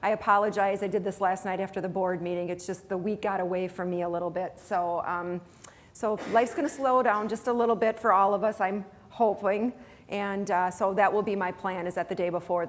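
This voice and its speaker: A woman's voice: lowish voice, steady tone, brisk. A middle-aged woman, a college president, talking casually and chattily to staff, with restarts and self-deprecating asides. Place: large auditorium with audience. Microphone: handheld mic, far camera.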